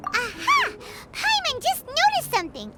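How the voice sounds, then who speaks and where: A woman voicing a tiny excitable childlike fairy companion in a video game, with a squeaky voice, speaking of herself in the third person. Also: a little slow, heavy background noise, full character voice. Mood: curious, cheerful. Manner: talks of self in third person. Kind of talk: video game cutscene acting. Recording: loud music behind.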